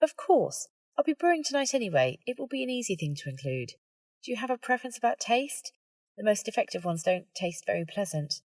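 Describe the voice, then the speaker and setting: A woman voicing a practical, prim, defensive young witch healer in an audiobook, brisk, precise and easily flustered. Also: medium pitch, very animated, a little mellow, dry recording, recorded from a distance, voice pushed up. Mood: helpful, practical. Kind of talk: audiobook character dialogue.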